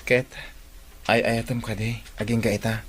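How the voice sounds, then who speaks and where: A man's voice: on the low side, little pitch movement, normal speed, a bit bright, slight background noise, lightly acted. A man acting a friendly, attentive young student in an Ilocano radio drama, with an Ilocano accent. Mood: curious, hesitant.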